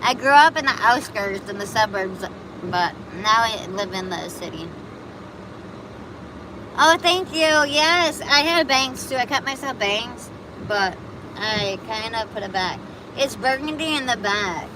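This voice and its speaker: A woman's voice: a little high, expressive, a little slow. Chatty woman streaming amid traffic. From Buffalo, New York. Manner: chatty, rambling, reacts to chat comments. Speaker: livestream host. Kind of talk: casual live chat answering viewers.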